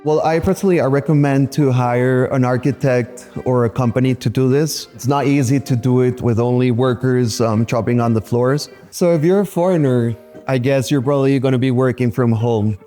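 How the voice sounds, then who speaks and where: Man, real estate agent, showy tour guide, warm low tone, quiet guitar behind. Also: fairly even intonation, normal speed, few pauses. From Colombia. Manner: showy, points out features. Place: indoors in a luxury apartment.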